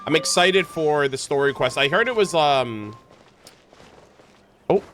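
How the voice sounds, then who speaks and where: A man chatting casually on a livestream as he streams games, rambling along in a halting way. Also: a little high, lively intonation, a bit bright, slight background noise. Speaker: game streamer. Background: background music.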